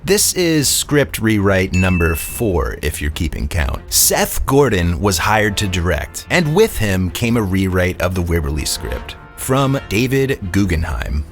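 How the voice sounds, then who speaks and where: Low-voiced man narrating over music. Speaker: video essay narrator. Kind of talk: scripted narration.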